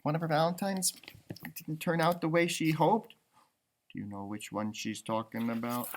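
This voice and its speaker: A man giving an expressive children's storybook read-aloud, adding exclamations and comments for young listeners. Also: on the high side, normal speed, slight background noise. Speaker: storybook reader, teacher.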